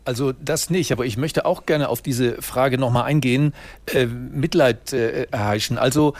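A journalist discussing war reporting in a measured way, pausing often with fillers. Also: male voice, mid-range voice, dry recording. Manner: measured, hesitant with many fillers. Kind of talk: studio discussion.

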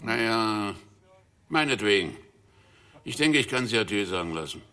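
Sharp, commanding policeman. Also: on the low side, lively intonation, moderate pace. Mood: grudging. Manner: gruff, commanding, condescending. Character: stern, gruff police officer, firmly in control. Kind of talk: radio drama acting.

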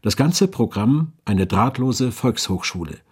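A man's voice: medium pitch, expressive, measured pace, a little mellow, husky. A man reading scripted historical narration as a documentary narrator.

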